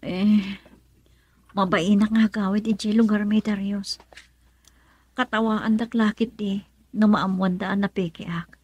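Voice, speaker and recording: A woman's voice: mid-range voice, steady tone, slow pace, long pauses, a little mellow, slightly rough. An actress playing a timid, ashamed young woman in a Filipino radio drama, with a Filipino accent. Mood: ashamed, anxious.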